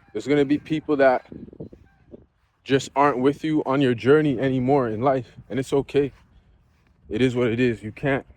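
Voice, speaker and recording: A man's voice: mid-range voice, a little mellow. Earnest man in his thirties, reflecting. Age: 30s. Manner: earnest, conversational, frequent "you know". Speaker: vlogger. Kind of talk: reflective casual monologue. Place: outdoors near geese.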